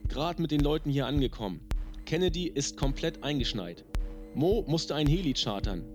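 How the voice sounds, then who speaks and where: A man's voice: a little high, expressive, normal speed. A man reading a novel aloud, voicing the characters in dry, clipped narration. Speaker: audiobook reader. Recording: music loud underneath.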